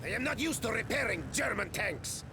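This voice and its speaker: An actor playing a gruff Soviet tank crewman and mechanic in a war game, speaking. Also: sits high, animated, moderate pace, noisy background. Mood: dry, harried. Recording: loud music over game dialogue.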